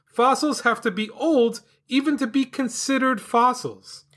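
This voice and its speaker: A man's voice: very high-pitched, measured pace, a bit bright. A man hosting an educational podcast for children, explaining science with upbeat enthusiasm and a sing-song delivery. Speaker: podcast host.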